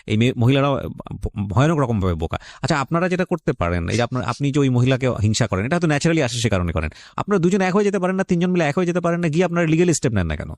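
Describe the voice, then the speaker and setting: A man's voice: medium pitch, very animated, racing, dry recording, clean studio sound. A male radio host with a Bangladeshi accent gives unscripted moral commentary in an emphatic, lecturing way, mixing in English phrases.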